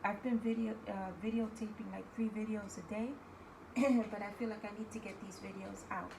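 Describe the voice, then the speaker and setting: A woman with a New York accent hosting a casual spiritual talk as a tarot reader, chatty and often checking in with her viewers, her voice hoarse from overuse. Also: mid-range voice, fairly even intonation, moderate pace, noisy background. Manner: chatty, keeps asking okay guys. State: losing her voice. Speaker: tarot reader and video host.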